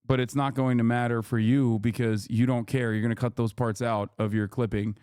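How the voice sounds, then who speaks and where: A man's voice: medium pitch, level tone, fairly quick, a little mellow, smooth, no echo, studio quality. A man streaming live, ranting to his chat in a heated, profane, rambling way.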